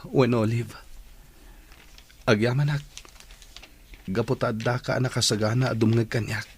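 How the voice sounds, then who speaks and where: A man's voice: mid-range voice, fairly even intonation, normal speed, a little hiss or hum, natural voice. A man acting an earnest, solemn young male student in an Ilocano radio drama, with an Ilocano accent. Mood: earnest, grateful.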